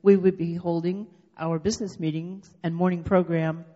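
A woman, the club secretary, reading out meeting minutes in a steady way. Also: on the low side, normal speed. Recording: muffled echoey hall sound.

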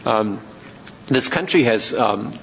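Man, German accent, halting informal technical lecture, long hall reverb, dull muffled sound. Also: fairly low pitch, lively intonation, gravelly, bright, forceful tone, slight background noise. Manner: hesitant, halting delivery with frequent ums and repeated words. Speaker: robotics researcher giving a talk. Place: large reverberant hall, speaking to an audience.

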